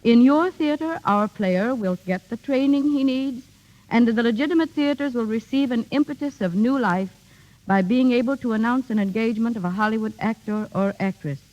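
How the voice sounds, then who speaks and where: A middle-aged woman reading a formal, measured 1930s radio address as a guest speaker. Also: on the high side, a little mellow. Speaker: guest speaker, theater director. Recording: muffled 1930s radio broadcast.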